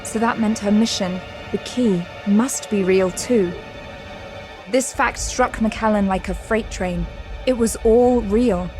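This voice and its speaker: A woman's voice: mid-range voice, slow, over music. A woman narrator reading dramatic scripted story narration for an audio drama.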